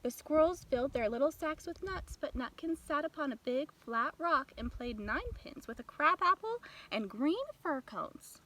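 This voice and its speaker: High-voiced, very animated woman storyteller. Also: moderate pace, few pauses, a little hiss or hum, low-quality recording. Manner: expressive storytelling. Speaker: storybook narrator. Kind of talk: children's bedtime story reading.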